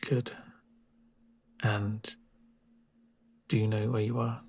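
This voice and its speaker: A man playing a calm, reassuring medic with dry humour in a soft-spoken, hushed ASMR roleplay. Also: fairly low pitch, breathy edge, exaggerated voice. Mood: soothing, calm. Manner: unhurried, soothing, deadpan asides. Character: calm male corporate medic, gentle, reassuring, drily sardonic. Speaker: medic caring for a patient.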